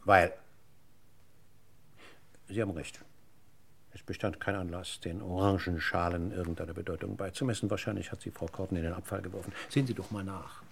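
A methodical police inspector in a radio crime play. The voice is low and gravelly. He probes with deliberate courtesy and never lets go of a point. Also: steady tone, a little hiss or hum. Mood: brisk, probing. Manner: deliberate, courteous interrogator's delivery. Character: male police inspector, probing, methodical, politely insistent. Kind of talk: German radio crime drama acting.

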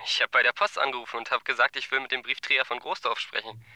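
Surly, sharp-voiced teenage boy. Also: medium pitch, steady tone, fast talker, no room sound. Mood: guarded, softer. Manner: curt, dismissive. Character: brusque, surly, domineering teenage boy Alfred. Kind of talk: radio drama dialogue.

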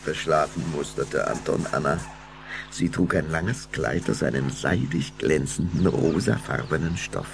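A man narrating a radio play as a grave, expressive storyteller. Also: rumbling low, unhurried, creaky, background noise, heavily acted. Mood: reflective.

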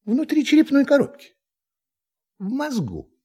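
An actor in a radio play voicing a polite, whimsical, impulsive alien tourist who speaks in a hesitant, musing way. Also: male voice, very high-pitched, wildly swooping pitch, measured pace, warm tone, exaggerated voice. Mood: calm, curious.

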